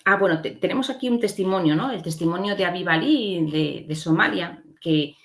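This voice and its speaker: A woman's voice: on the low side, fairly quick, a bit bright. A woman from giving an explanatory slide lecture as a conference speaker, often adding short checking tags.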